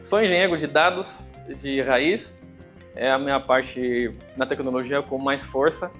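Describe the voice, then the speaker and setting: A man from Angola giving a conference talk, with an Angolan accent touched by Brazilian Portuguese, speaking hesitantly with many fillers and pauses. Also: mid-range voice, steady tone, a bit bright, faint music behind. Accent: Angolan Portuguese with some Brazilian. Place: conference venue.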